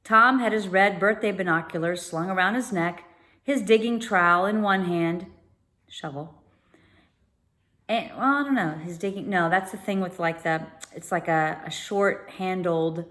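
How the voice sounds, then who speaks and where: A woman's voice: on the low side, fairly bright tone. A woman storyteller narrating a children's book, slipping into informal explanatory asides. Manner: pauses to explain unfamiliar words informally. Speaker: read-aloud storyteller.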